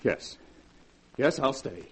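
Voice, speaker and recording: A man's voice: medium pitch, lively intonation, takes it slowly, fairly bright tone. An actor playing an earnest, easily swayed male doctor in a 1940s radio drama, talking in an anxious way. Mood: troubled. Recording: noisy old radio broadcast recording.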